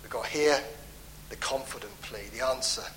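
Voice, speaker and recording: A man preaching a sermon in an earnest, pleading way, his voice lifting for emphasis and dropping soft at the ends of phrases. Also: mid-range voice, moderate pace, slight background noise, poor audio. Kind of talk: sermon on a psalm.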